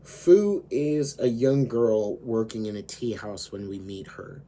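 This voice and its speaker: A man with a hoarse voice, slowly retelling an anime story as host of his own channel. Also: fairly low pitch, animated, mellow. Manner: slow, deliberate storytelling with frequent fillers.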